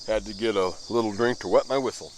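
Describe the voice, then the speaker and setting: A man's voice: fairly low pitch, background noise. A man in his fifties giving a rambling, self-deprecating running commentary as he spray paints. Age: 50s. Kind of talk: running commentary while working.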